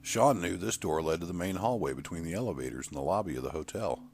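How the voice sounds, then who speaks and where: A man's voice: sits low, expressive, quick pace, a little mellow, slight background noise. A man reading a scripted horror short story as its narrator.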